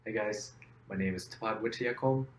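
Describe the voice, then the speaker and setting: A young man from Thailand, a startup co-founder, explaining his product in a steady business pitch. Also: normal speed. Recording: roomy echo, faint background noise.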